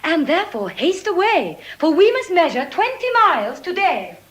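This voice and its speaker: An actress playing a witty, high-born young lady with theatrical mischief, in classical Shakespearean style. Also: very animated, moderate pace, a bit bright, exaggerated voice. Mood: playful, excited. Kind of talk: classical Shakespearean stage acting.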